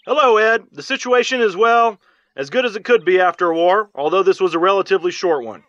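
An earnest male war correspondent reports in a measured, serious way for a game's newscast. His voice is high, and the sound is dry and slightly dull. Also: fairly bright tone, dry recording. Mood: serious, concerned. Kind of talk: TV field report in a game cutscene. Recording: slightly dull, muffled audio.

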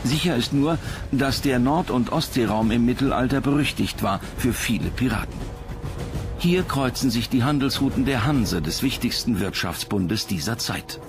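Male narrator for scripted documentary, creaky mid-pitched voice, music underneath. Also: expressive, normal speed.

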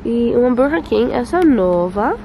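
A young woman whose first language is Dutch chats slowly on a handheld camera, pausing often as she hunts for words. Her voice sits a little high. Faint outdoor ambience hums behind her. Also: animated, dark tone, a little hiss or hum. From Netherlands. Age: young adult. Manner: halting, searching for words, slips into English. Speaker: vlogger. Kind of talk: casual travel vlog. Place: outdoors and indoors, apartment and streets.

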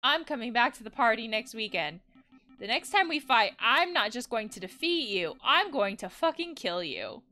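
Defiant young video game protagonist, as a woman reads it, sarcastic edge, lively pitch, bright tone. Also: normal speed, soft background music. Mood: vengeful. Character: anxious young person, defiant, fed up with fear.

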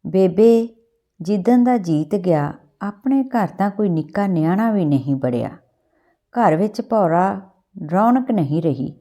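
A woman's voice: medium pitch, expressive, measured pace, dark tone. A woman playing a lonely young village daughter in a storybook reading, plaintive and simple, with a Punjabi accent.

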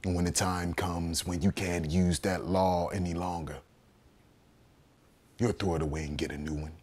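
A male actor performing a theatrical monologue as a bitter, righteous condemned rebel, in an accusatory, oratorical manner that swings between hushed and thundering. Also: mid-range voice, expressive, a little slow. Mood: grave, accusing.

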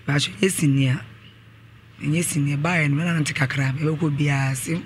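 A woman's voice: low voice, steady tone, normal speed, slight background noise. A middle-aged woman giving a personal account as a guest in a radio studio interview. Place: small indoor radio studio. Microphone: desk microphone, close.

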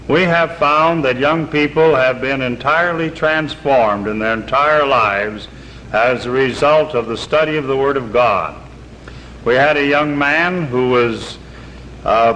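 A middle-aged man reads from his papers in a measured, earnest, formal way. His voice is bright and forceful, at an ordinary pitch. The audio comes from an old 1950s TV broadcast and carries a faint hiss. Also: low-quality recording. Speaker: camp spokesman. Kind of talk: reading a prepared statement, then documentary narration. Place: outdoors by a lake. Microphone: seated on camera, reading from papers.

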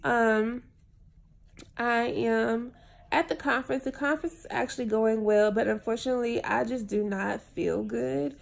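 A woman's voice: fairly high pitch, steady tone, unhurried, vocal fry. Congested young woman vlogging from bed. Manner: tired, laid-back, chatty. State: congested, unwell. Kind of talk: casual vlog to camera. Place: hotel room, lying in bed. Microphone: phone held at arm's length.

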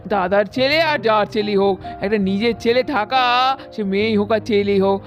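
A woman's voice: medium pitch, moderate pace, muffled recording, lightly acted. Lady doing an old village grandma for a puppet cartoon, wistful n emotional, rustic drawl, bit of music under it. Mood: wistful, fond. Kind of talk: puppet cartoon story dialogue.

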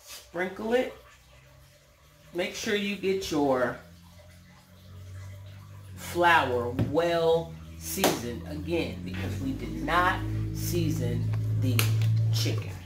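A woman's voice: low voice, lively intonation, very slow, pauses often, over music. A middle-aged American woman with a folksy, playful manner, casually demonstrating home cooking as the host. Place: indoors in a home kitchen. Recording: roomy, clearly noisy home recording with clicks.

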